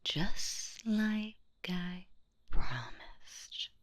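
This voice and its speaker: A woman voicing a sadistic, playful boogeywoman in a horror audio roleplay, whispering in a husky, teasing, menacing and mock-sweet way. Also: animated, extremely slow. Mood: teasing menace. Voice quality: breathy, husky whisper with creaky low notes. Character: sadistic, playful female boogeywoman monster hunting humans.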